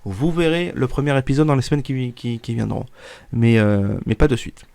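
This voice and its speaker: A man's voice: medium pitch, fairly even intonation, normal speed, creaky, a little mellow. A man in his twenties, stuffy from a cold, talking casually to his viewers in a rambling way full of fillers and restarts. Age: 20s. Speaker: YouTuber. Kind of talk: casual vlog talk to viewers.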